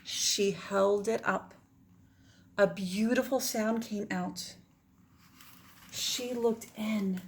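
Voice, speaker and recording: A woman's voice: mid-range voice, steady tone, very slow, vocal fry, pauses often. A woman teacher reading a children's storybook aloud in a dramatic manner, often dropping toward a whisper.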